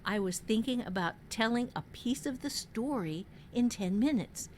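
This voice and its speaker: Higher-voiced woman with background noise. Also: animated, moderate pace, darkish tone. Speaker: writing podcast host and novelist. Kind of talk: casual conversational writing advice.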